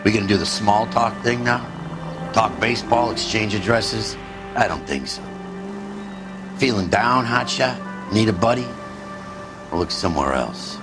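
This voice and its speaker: An actor playing a sardonic, cynical middle-aged arson detective in a 1940s crime game, dismissive and surly. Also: male voice, on the low side, measured pace, pauses often, music in the background, lightly acted. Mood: mocking. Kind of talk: 1940s detective drama acting.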